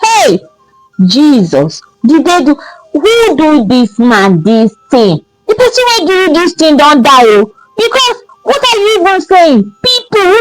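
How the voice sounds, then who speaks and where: A woman's voice: high voice, big pitch swings, a little slow, no echo, faint music behind, rough recording. A woman with a Nigerian accent voicing an exasperated young man who scolds his friend with emphatic questions and interjections in a folktale.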